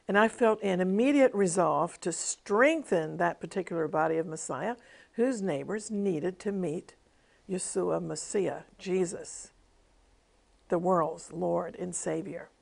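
A woman's voice: medium pitch, creaky. A middle-aged woman television minister giving a devotional teaching with a personal testimony, in an earnest, emphatic preaching cadence.

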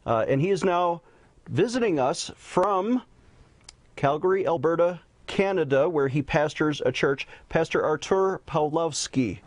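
A man's voice: fairly high pitch, animated, unhurried, no echo. Preacherly male talk show host. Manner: preacherly, formal introductions. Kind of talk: hosting a news talk show.

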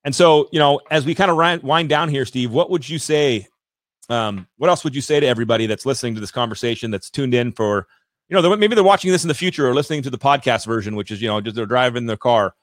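A man's voice: medium pitch, quick pace, dry recording, studio quality. A man hosting a podcast interview, talking energetically and effusively with many restarts. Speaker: podcast host. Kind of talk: interview chat.

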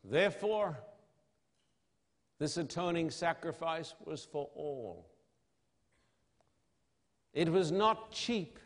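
A man preaching an evangelistic sermon in a solemn, emphatic manner, with dramatic pauses and rhetorical questions. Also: a little high, lively intonation. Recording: long hall reverb.

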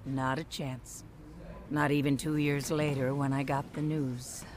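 An actress playing a bitter, stubborn wife in a radio drama, with a sarcastic and defiant manner. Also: female voice, rumbling low, flat delivery, a little slow. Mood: rueful. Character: bitter, combative, stubborn wife and later divorcee. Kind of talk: radio drama acting with reflective narration.

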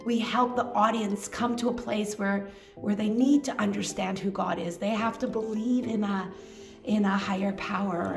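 A woman's voice: mid-range voice, echoey room. A Canadian woman in her forties, presenting her family and her vision earnestly as a guest. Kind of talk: personal presentation over a home video.

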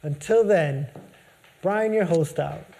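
A man's voice: on the high side, slow pace, gravelly, mellow. A man hosting a show, reading a scripted, upbeat-worded outro. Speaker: host. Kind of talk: scripted show sign-off narration.

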